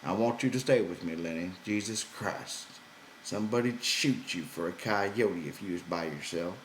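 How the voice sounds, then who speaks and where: A man voicing a gruff, weary, irritable ranch hand with folksy rough grammar in an audiobook. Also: sits low, normal speed, fairly bright tone, reverberant, slight background noise, low-quality recording. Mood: conciliatory. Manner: gruff, exasperated, folksy ungrammatical speech.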